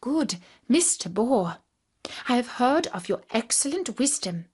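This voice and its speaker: A woman's voice: a little high, sing-song, a little slow, a little mellow, slightly rough, voice pushed up, exaggerated voice. A woman voicing an anxious old male monkey in a children's fairy tale, pleading and lamenting theatrically. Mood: pleading, flattering.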